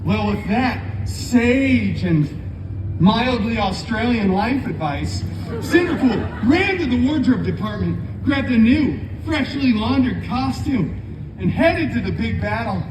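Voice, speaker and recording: A man's voice: very high voice, big pitch swings, slow, noisy background, exaggerated voice. A man playing a wisecracking comic-book antihero who tells a fairy tale theatrically, with sarcastic asides to his live audience. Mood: breezy, sarcastic. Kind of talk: live comic storytelling show. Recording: echoey venue, loud background music.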